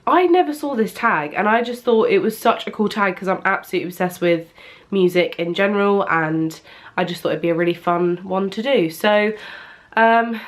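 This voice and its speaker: A woman's voice: medium pitch, moderate pace. A young British woman vlogging at home, talking casually to a camera propped on an ironing board, her nose blocked with a cold and her talk full of ums. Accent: British English. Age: young adult. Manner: chatty, rambling, many ums. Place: at home, indoors.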